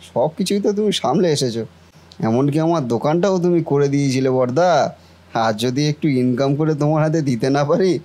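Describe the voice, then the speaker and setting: A man's voice: fairly high pitch, dark tone, close to an everyday voice. A man voicing a devoted, affectionate younger brother, a young shopkeeper in a family cartoon. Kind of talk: Bengali family cartoon voice acting.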